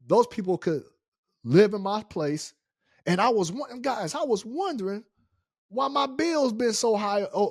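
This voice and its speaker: A middle-aged American man hosting a casual story time, speaking in an animated, indignant and emphatic way. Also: sits high, dry recording, studio quality. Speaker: story-time show host.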